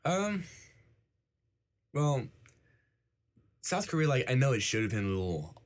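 A man's voice: mid-range voice, sing-song, measured pace. A young man, whose first language was Icelandic, being interviewed in a casual chat, filling his talk with "like". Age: young adult. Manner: fills talk with "like".

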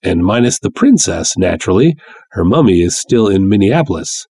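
A man's voice: sits low, big pitch swings, normal speed, warm tone, breathy edge, no echo, studio quality, full character voice. A man voicing a brash, jovial, sly showman promoter with folksy salesman patter in a pulp story reading. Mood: self-satisfied. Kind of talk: dramatic reading of pulp fiction.

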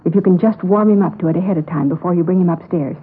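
A woman's voice: lowish voice, fast talker, husky, phone-quality sound. An actress playing a determined woman in a 1950s radio crime drama, speaking earnestly and insistently. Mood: insistent, confident. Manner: earnest, urgent. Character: determined, insistent woman, twin of missing wife. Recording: thin, band-limited old radio broadcast.

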